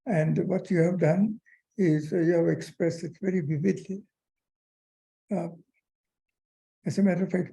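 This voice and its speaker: Older man, gravelly, very dark tone, halting, earnest thank-you speech. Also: a little high, rough recording. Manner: halting, full of "uh", earnest praise. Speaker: senior guest giving vote of thanks.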